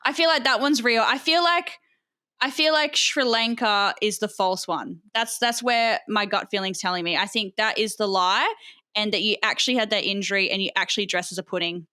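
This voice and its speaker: A bright, forward-sounding woman hosts a podcast in relaxed conversation. She is curious and lively, pressing her guest with quick follow-up questions at a middling pitch. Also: clear, resonant tone. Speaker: podcast host. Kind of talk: casual podcast chat.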